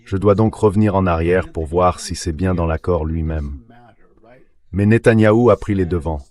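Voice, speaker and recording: A man speaking as an expert guest in a measured, explanatory way, in a translated synthetic voice-over. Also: deep voice, a little mellow. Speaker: expert guest, international relations professor. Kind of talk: translated voice-over of political analysis.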